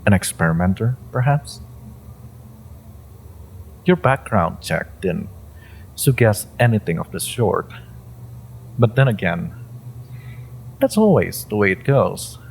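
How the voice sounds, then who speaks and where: A low, unhurried male monster played by a man interrogates the listener in an audio roleplay. He is gravelly and theatrical, toying with his prey in a mocking, menacing way. A faint layer of noise sits behind the voice. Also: very animated, darkish tone, heavily acted, low-quality recording. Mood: mocking, probing. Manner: theatrical, taunting, drawn-out phrasing with pauses and whispers.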